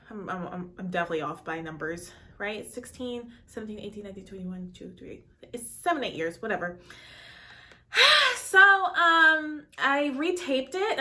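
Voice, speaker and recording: A woman's voice: mid-range voice, pushed and bright. A woman in her late twenties, an actor, chatting nervously and rambling with many fillers in a casual reaction vlog.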